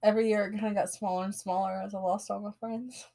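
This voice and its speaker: A woman in her late twenties chatting casually on a podcast, teasing, reacting quickly and poking fun at herself. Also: medium pitch, little pitch movement, warm tone. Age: 20s. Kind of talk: casual chat.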